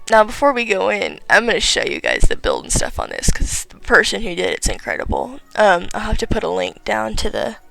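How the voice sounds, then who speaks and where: A teenage girl giving rambling, chatty commentary on her game late at night, her voice tired and raspy. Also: medium pitch, fairly bright tone, no echo, poor audio. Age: teens. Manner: rambling, filler-heavy, chatty. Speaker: gaming vlogger.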